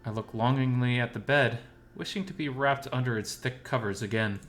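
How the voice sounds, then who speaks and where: A man narrates a first-person story from a script. His pitch is average for a man. Soft guitar music plays quietly behind him. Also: fairly quick.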